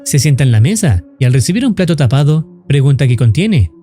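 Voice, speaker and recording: A man's voice: mid-range voice, big pitch swings, fairly quick, mellow, soft background music. A man reading scripted narration for a horror explainer video.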